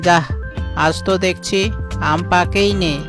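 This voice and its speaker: Casting: male actor as industrious fox, wronged and grumbly, highish cartoon delivery. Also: steady tone, unhurried, music in the background. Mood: puzzled. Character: hard-working male fox orchard keeper, wronged and indignant. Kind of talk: cartoon voice acting.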